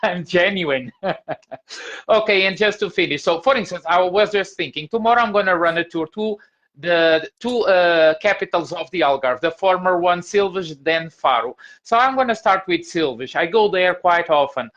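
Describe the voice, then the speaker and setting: A man's voice: high voice, normal speed, a bit bright. A man with a Portuguese accent, a tour guide talking playfully in a casual podcast chat. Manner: playful, self-deprecating. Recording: dull lo-fi audio.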